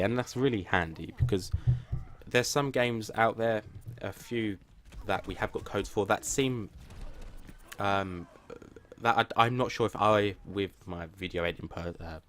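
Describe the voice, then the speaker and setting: A man with a somewhat low, plain and flat voice talks casually to his viewers about his gaming channel. He rambles and hesitates, restarting sentences often. He keeps running himself down as he goes. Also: slight background noise. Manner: self-deprecating.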